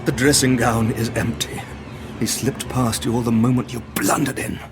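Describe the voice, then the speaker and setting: A man playing an earnest, grave doctor in an audio drama, speaking and narrating in an articulate, measured way. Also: medium pitch, little pitch movement, music in the background, lightly acted. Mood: alarmed.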